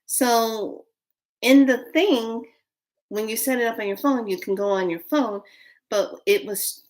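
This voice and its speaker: A woman's voice: on the high side, measured pace. A woman in her late fifties chatting casually to viewers on a live stream, rambling through a story. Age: 50s. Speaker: vlogger.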